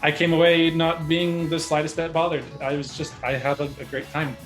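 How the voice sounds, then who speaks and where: A man's voice: fairly high pitch, little pitch movement, brisk, fairly bright tone. A man co-hosting a casual movie review, talking in a hesitant, rambling way and often correcting himself. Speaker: podcast co-host reviewing a movie. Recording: music behind the voice.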